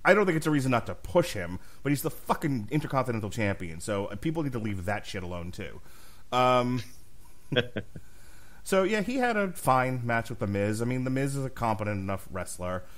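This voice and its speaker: A man's voice: medium pitch, sing-song, moderate pace. Blunt, profane man talking shop. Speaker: podcast host. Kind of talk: casual podcast chat.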